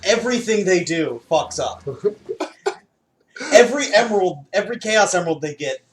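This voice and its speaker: A man's voice: sits high, animated, moderate pace, slight background noise. A man giving casual, joking game commentary with some swearing as the host of a playthrough.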